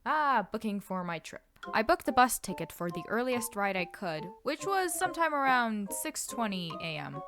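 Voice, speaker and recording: Lively young Canadian vlogger, Russian native. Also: medium pitch, moderate pace, hardly any pauses, over music. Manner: animated, self-deprecating storytelling. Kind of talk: casual vlog narration.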